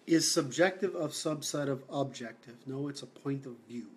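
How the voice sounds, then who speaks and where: A man from Seattle hosting a livestream, answering comments in a halting, blunt way with many fillers and restarts. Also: mid-range voice. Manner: blunt, opinionated. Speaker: livestream host.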